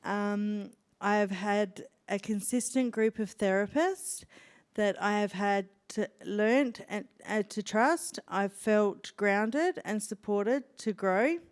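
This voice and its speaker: A woman's voice: mid-range voice, clean tone. A woman with an Australian accent, speaking earnestly into a handheld microphone as a guest on an event stage. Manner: slow, earnest, heartfelt. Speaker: guest speaker sharing her story. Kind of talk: answering interview questions on stage.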